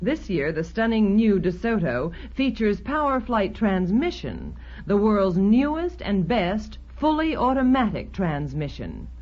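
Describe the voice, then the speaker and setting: A woman's voice: mid-range voice, very animated, unhurried, darkish tone. An American woman reading a scripted 1950s radio commercial as an announcer. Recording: dull, band-limited 1950s radio recording.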